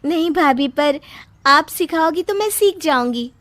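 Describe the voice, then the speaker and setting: A woman voicing a meek, gentle young daughter-in-law in a cartoon story, timid and respectful. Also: high voice, lively intonation, unhurried, pitched above the performer's own voice. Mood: humble.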